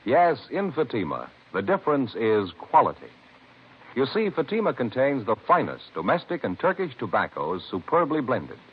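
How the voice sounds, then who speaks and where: A man's voice: medium pitch, wildly swooping pitch, moderate pace. A man reading a 1950s radio advertisement as announcer, with polished, emphatic salesmanship. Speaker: commercial announcer. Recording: muffled vintage radio with faint noise.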